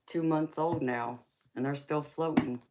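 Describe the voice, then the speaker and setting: A woman in her thirties, with a very deep, low voice, unhurried and relaxed as she reads viewer comments aloud. She laughs often and calls people honey, and a faint hiss sits under her voice. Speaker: tarot reader chatting with live viewers. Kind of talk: casual live-stream chat reading comments.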